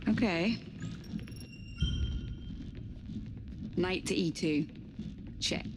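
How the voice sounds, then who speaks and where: An actress playing an uneasy but dry-witted spaceship crew member in a cinematic video game, with an understated, natural delivery. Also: female voice, lowish voice, slow, noisy background. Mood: focused.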